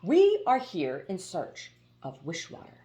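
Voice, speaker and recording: A woman's voice: lowish voice, huge pitch swings, a little slow, warm tone, echoey room. Woman as a wordy, fussy male water genie. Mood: soothing, explaining. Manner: wordy, coaxing, piling up phrases. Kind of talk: storybook character voice.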